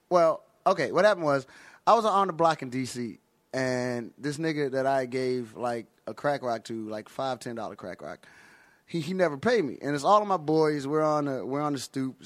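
A man's voice: medium pitch, no echo. American man telling profane comic stories. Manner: profane, animated slang-heavy talk. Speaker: guest comedian. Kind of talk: casual comedic storytelling.

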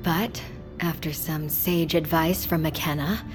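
A guarded, composed scientist in an audio drama. She explains formally and deliberately in a fairly low voice, with clear music underneath. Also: fairly even intonation. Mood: composed, solemn. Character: composed, guarded female scientist addressing a group. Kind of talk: fiction podcast acting, speech to a group.

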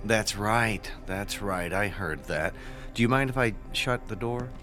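A man playing a nervous, ingratiating school guidance counselor in his twenties in an audio drama, speaking haltingly. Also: on the low side, sharp tone, faint music behind. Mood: nervous probing. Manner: hesitant, ingratiating, halting with ums.

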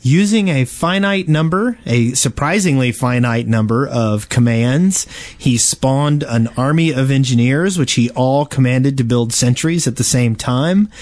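A man's voice: mid-range voice, measured pace, a little mellow, no echo. A man co-hosting a gaming podcast in casual, conversational chat. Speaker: podcast co-host and wine salesman.

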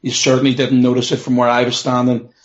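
A man hosting a sports podcast, his voice hoarse and croaky, asking questions with a few fillers. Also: medium pitch, monotone, fast talker. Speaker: podcast host. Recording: dull lo-fi sound.

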